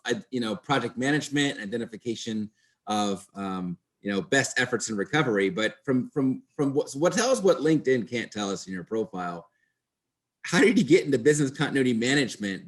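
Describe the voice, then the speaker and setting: A man interviewing a guest conversationally, rephrasing his questions as he rambles along. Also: mid-range voice. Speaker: podcast host, interviewer.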